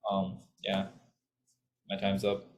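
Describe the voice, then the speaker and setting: A man's voice: deep voice, completely flat delivery, takes it slowly, a little hiss or hum. A young man from Sri Lanka, a student telling a story casually and eagerly, full of fillers. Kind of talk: casual talk in an online info session.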